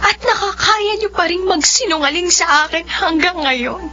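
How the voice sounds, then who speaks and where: A woman playing Nanette, a young woman who is hurt and grieving, going from tearful accusation to soft, whispery tenderness. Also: very high-pitched, moderate pace, a little hiss or hum. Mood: tearful anger. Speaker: lead character. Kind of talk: dubbed melodrama acting. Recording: dull lo-fi sound with faint music.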